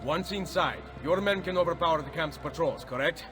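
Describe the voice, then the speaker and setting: An actor playing a composed, shrewd Italian assassin hero in a video game, speaking with an Italian accent in a purposeful way. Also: male voice, on the high side, fairly quick, over music, close to an everyday voice. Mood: confident, plotting. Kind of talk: dramatic video game acting.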